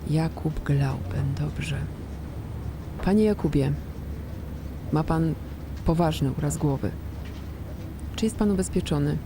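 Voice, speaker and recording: An actress playing a brisk, matter-of-fact hospital medic in a naturalistic radio drama, with a clinical, direct manner. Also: female voice, low voice, noisy background. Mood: professional, calm.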